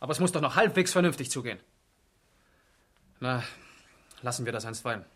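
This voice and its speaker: A man's voice: mid-range voice, expressive, brisk, a bit bright. A man acting a stern, dryly sarcastic police interrogator in a 1970s East German radio crime drama, clipped and authoritative. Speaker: actor.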